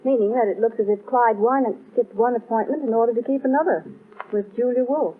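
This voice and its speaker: An actress playing a clever, witty young wife in an old radio drama, quick and bantering. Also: female voice, a little high, slight background noise, close to an everyday voice. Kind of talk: 1940s radio acting. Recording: tinny old radio recording.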